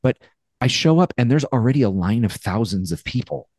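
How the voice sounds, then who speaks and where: A man in his forties co-hosting a podcast over a video call, telling a story in a casual, animated way and acting out his own thoughts. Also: mid-range voice, brisk, no room sound, studio quality. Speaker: podcast co-host. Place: home studio with brick wall. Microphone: headphones and studio mic on a video call.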